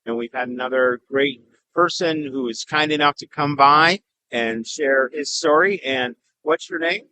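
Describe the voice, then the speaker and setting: A man hosting a podcast at an outdoor farmers market, eager and quick to chime in with short reactions. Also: mid-range voice, sharp tone, poor audio. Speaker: podcast host. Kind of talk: casual interview.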